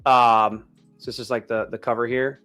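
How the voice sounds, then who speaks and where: A man's voice: medium pitch, flat delivery, normal speed, sharp tone, soft background music. A man of thirty-nine chatting casually as a live stream host, with informal, slangy bro-talk. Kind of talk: casual chat.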